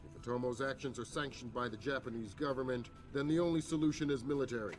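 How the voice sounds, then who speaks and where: Man playing senior intelligence adviser, mid-pitched, measured, articulate, grave game briefing, music behind. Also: close to an everyday voice. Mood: cautioning. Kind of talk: video game cutscene acting.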